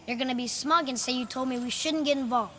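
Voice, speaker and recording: A man's voice: very high-pitched, fast talker, pushed and bright. A young male actor playing a sullen, curious boy in a video game, with an informal, snarky way of talking. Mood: sullen, resentful. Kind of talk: video game cinematic acting. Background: ambient noise.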